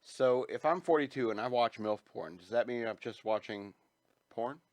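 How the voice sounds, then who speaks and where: A man in his forties co-hosting a crude casual talk show, rambling through stories and mimicking people in a high whiny voice. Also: moderate pace, no echo. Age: 40s. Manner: rambling storyteller, acts out quotes in a high whiny voice. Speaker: podcast co-host.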